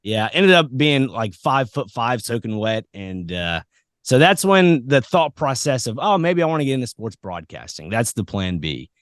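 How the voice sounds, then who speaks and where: A man's voice: medium pitch, big pitch swings, moderate pace, a bit bright, no echo, studio quality. An American man hosting a podcast, chatting in a casual, rambling, informal way as he interviews. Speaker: podcast host. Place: podcast set.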